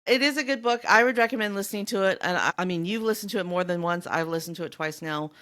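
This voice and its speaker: A woman's voice: mid-range voice, fast, fairly bright tone. Casual woman co-hosting a book podcast. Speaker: podcast co-host.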